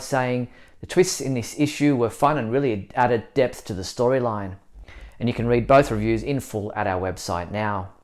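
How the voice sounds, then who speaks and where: Male host for a scripted news bulletin, medium pitch, clearly enunciated presenter read.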